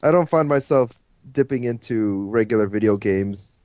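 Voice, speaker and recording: A man's voice: fairly low pitch, moderate pace, darkish tone, dry recording, studio quality. A young man as a podcast guest in casual chat, laid-back and grumbling mildly.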